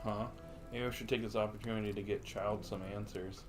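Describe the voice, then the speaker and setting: A male streamer with a fairly low voice reads game lines aloud and comments on them. He muses as if thinking out loud, and game music plays clearly behind him. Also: flat delivery, brisk.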